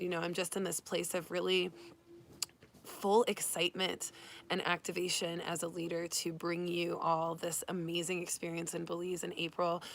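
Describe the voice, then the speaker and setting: A woman who has nearly lost her voice, hoarse, hosting an upbeat, spiritual tarot reading for her channel. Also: fairly low pitch, a bit bright, a little hiss or hum. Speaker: tarot reader and channel host. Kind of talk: casual livestream reading. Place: Arizona.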